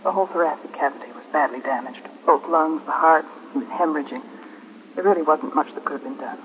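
An actor playing a grave, restrained hospital doctor in a 1950s radio drama, speaking quietly and in a measured way. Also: male voice, fairly high pitch, close to an everyday voice. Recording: echoey, dull, hissy 1950s radio recording.

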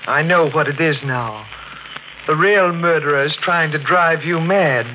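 Low-voiced, secretive radio-drama nurse. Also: female voice, measured pace, narrow, tinny audio, a little hiss or hum. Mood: urgent, controlled. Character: secretive, urgent female private nurse. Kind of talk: 1940s radio mystery acting. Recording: thin old radio broadcast with music.

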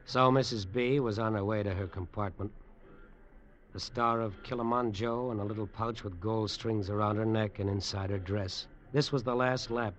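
A man's voice: lowish voice, fairly even intonation, normal speed, lightly acted. An actor with an American accent playing a sardonic, hard-boiled tough guy in an old radio noir drama. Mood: wry narration. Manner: clipped, sardonic. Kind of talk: 1940s-50s radio drama acting, noir narration. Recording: dull old radio recording with music.

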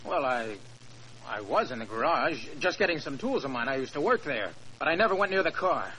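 An actor playing an eager, naive young racing driver, hesitant and earnest, in a 1940s radio drama. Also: male voice, mid-range voice, sing-song, brisk, pushed and bright. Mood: flustered, defensive. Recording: noisy vintage 1940s radio recording.

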